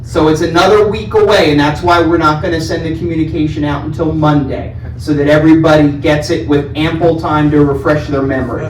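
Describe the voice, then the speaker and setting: A man with a loud voice hosting a community town hall, friendly and joking with residents by name. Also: on the high side, flat delivery, a little fast, few pauses, slight background noise. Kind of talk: casual town hall talk. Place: large meeting room indoors. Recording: echoey room, distant mic.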